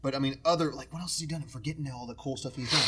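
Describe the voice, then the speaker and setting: A man's voice: medium pitch, rapid-fire, very noisy. A man chatting casually as a podcast co-host, emphatic and jokey, given to playful outbursts. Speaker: podcast co-host, works at a retro video game store. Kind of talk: casual, bantering pop-culture podcast chat.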